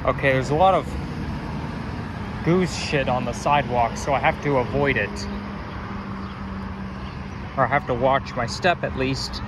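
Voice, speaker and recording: A man's voice: medium pitch, unhurried, pauses often. A man filming a casual walking vlog, chatting in a rambling, self-correcting way as he walks outdoors with a handheld camera. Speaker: vlogger. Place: outdoors on city sidewalks near traffic. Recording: outdoor street noise behind.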